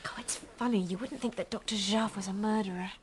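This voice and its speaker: An actress in a radio drama playing a candid, earnest young woman who speaks a little haltingly. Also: medium pitch, fairly even intonation, noisy background, natural voice. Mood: curious. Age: young adult.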